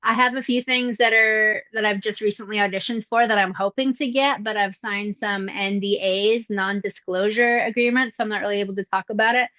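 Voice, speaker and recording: A woman's voice: medium pitch, brisk, few pauses, dry recording. Middle-aged lady with a young voice, easygoing and thoughtful, lots of ums, a bit dull and muffled, dry close sound. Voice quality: youthful-sounding voice. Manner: relaxed and reflective, with many fillers and restarts. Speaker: voice actress, interview guest. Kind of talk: casual interview answers. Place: indoors at her mother's home.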